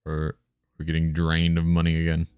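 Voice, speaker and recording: A man live streaming a text game, musing out loud in short casual phrases and sometimes reading the game text. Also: rumbling low, monotone, moderate pace, faint music behind. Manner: musing, muttered short phrases, thinking aloud.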